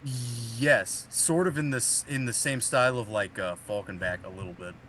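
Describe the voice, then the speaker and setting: A man's voice: mid-range voice, normal speed, a bit bright. A man with a mid-range voice talking on a music podcast. His delivery is laid-back, with casual swearing and sentences that trail off, and faint noise sits behind him. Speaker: podcast co-host.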